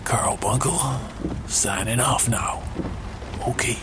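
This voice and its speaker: A man's voice: mid-range voice, takes it slowly, background noise, lightly acted. A man playing a folksy small-town late-night radio host in a comic audio drama, with a rambling, homespun delivery.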